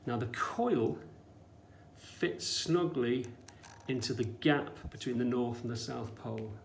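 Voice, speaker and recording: A man with a mid-pitched voice gives an informal science lesson. He explains step by step as he demonstrates, dropping in short questions to check understanding. The room is noticeably echoey, with faint noise underneath. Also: slow pace, vocal fry. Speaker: science teacher.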